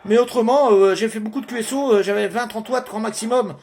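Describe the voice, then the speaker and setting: Male CB operator, strikingly high voice, casual radio chat, faint noise behind. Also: brisk.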